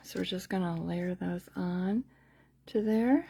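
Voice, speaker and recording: A woman's voice: lowish voice, slow, gravelly, mellow, breathy edge. Chatty woman hosting a craft livestream. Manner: chatty, rambling, informal, repeating herself. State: losing her voice slightly. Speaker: host of a card-making craft class livestream. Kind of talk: casual live tutorial chat with sales pitch.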